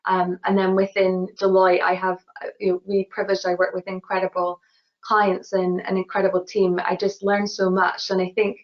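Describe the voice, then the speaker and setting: Glaswegian woman speaking in a flat, even tone. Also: medium pitch, moderate pace. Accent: Scottish (Glasgow). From Glasgow, Scotland. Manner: earnest, many restarts and "you know" fillers. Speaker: award-winning engineer guest. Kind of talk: webinar Q&A answers. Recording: dull lo-fi webinar audio.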